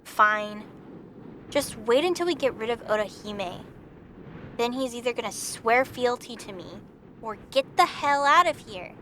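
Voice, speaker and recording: Brief: a woman playing a snarky young war goddess, high, lively and bright, with light music behind. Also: measured pace, a little hiss or hum. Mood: grudging. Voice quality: snarky, sharp-tongued. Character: prickly, sarcastic young goddess, raven warrior.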